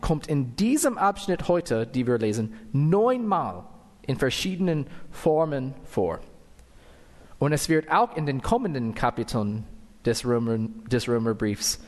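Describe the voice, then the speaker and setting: A man's voice: a little high, darkish tone. An American man with English as his first tongue preaches in a church hall, taking his time over every phrase. His voice carries a long reverb from the room, and he stops now and then to correct himself. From United States. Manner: careful, deliberate, with fillers and self-corrections. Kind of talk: sermon with scripture reading.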